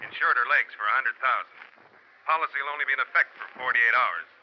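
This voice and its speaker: An actor playing a genial, brisk insurance company manager in 1940s radio style. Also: male voice, medium pitch, close to an everyday voice. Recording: muffled, faintly noisy 1940s radio recording.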